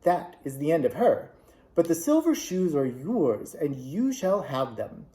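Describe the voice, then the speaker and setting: A man voicing a kindly little old witch woman in a storybook reading, with a gentle, solemn manner, raised higher than his own voice. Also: very animated, a little slow. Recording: echoey room.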